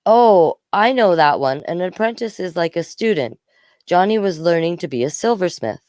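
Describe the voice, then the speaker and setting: A storyteller as an easygoing, clear-voiced schoolgirl. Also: medium pitch, lively intonation, moderate pace, darkish tone, dry recording, studio quality, voice pushed up, lightly acted. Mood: sheepish, bright. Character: easygoing, joking schoolgirl, an inattentive classmate.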